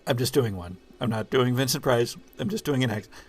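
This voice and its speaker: A man's voice: medium pitch, brisk. A man narrating a tabletop horror game as the game master.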